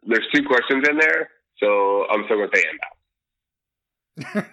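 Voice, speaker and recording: A man's voice: mid-range voice, expressive, normal speed, pushed and bright, a little hiss or hum. A man co-hosting a podcast who answers in dry one-word quips. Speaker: podcast co-host. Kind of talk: casual podcast banter. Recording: dull lo-fi mic.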